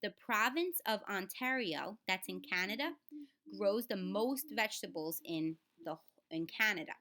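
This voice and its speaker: A woman's voice: mid-range voice, expressive, normal speed, pushed and bright. A woman reading a children's book aloud in a teaching way, speaking straight to the children and adding simple explanations. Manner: instructive, addresses children directly, sounds out words.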